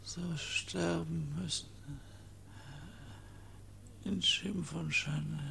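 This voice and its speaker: An actor playing a dying, feverish young man in a radio drama, weary and halting, with long pauses between words. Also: fairly high pitch, steady tone, gravelly, sharp tone, slight background noise, exaggerated voice. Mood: exhausted, sorrowful. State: weak, feverish, near death.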